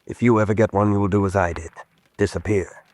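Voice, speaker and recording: A man's voice: fairly low pitch, fairly even intonation, fast talker, darkish tone, natural voice. Man playing a desperate hunted spy, urgent and intense. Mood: grave. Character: desperate hunted American spy pleading for help. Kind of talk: radio drama acting.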